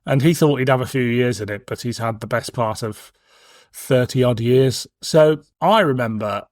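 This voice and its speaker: A man's voice: medium pitch, normal speed, darkish tone, no echo, clean studio sound. A man in his forties with a British accent, hosting a podcast in a casual, cheeky, frank chat. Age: 40s. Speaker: podcast host.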